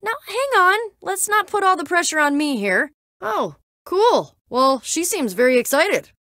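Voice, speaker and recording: Casting: scrappy young game heroine, high-pitched and very animated, sarcastic and plain-spoken. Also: female voice, unhurried, no echo, clean studio sound, heavily acted. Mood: casual, teasing. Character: scrappy, wry young female adventurer in a blocky adventure game. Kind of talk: video game voice acting.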